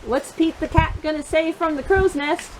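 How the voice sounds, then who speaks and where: A woman reading a picture book aloud for young children, expressive and encouraging, pausing to put questions to her listeners. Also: high voice, noisy background. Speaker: children's storytime reader.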